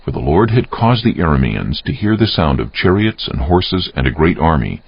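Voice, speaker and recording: Male narrator, dramatic scripture reading. Extremely low, animated delivery, slightly dull recording. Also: moderate pace, vocal fry, breathy edge. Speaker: narrator of a dramatized Bible audiobook. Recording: dull, muffled audio.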